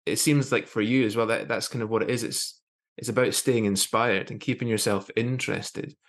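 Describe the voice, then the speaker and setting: A man in his thirties hosting a conversational interview over a video call, rephrasing haltingly as he thinks. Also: on the low side, fairly even intonation, a bit bright. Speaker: podcast host. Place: small plain room indoors. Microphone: video call with earphones.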